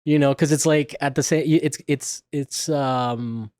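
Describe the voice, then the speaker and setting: A man's voice: a little high, fairly even intonation, a little slow, darkish tone, no room sound, studio quality. Rambling male podcast host. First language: Spanish. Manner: rambling, many restarts and self-corrections. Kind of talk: casual conversational interview.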